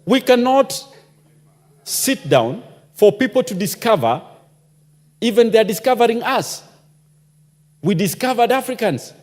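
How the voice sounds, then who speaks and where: A man addresses parliament with passion and keeps throwing out rhetorical questions. His voice sits a little high and swings widely in pitch. The long reverb of a large chamber hangs behind every word. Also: big pitch swings, unhurried, pauses often, a little mellow. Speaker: member of parliament. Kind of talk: parliamentary debate speech.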